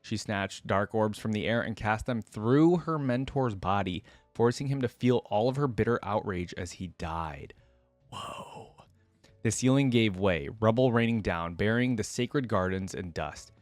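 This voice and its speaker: A man's voice: fairly low pitch, lively intonation, measured pace, no room sound, faint music behind. A man hosting reaction videos, talking informally and conversationally as he comments and reads aloud. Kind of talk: casual reaction commentary mixed with reading aloud.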